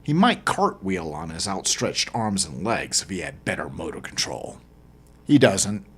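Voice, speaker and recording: A man's voice: deep voice, sing-song, a little slow, fairly bright tone, husky. A man narrating a first-person novel as its author, in a dramatic audiobook style.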